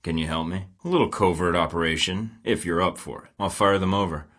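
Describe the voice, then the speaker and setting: A sardonic secret agent, his voice very low yet bright and pushed forward. He trades dry, sarcastic quips in clean studio game voice lines. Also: brisk. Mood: wry. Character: wry male spy agent, adult. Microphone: studio mic.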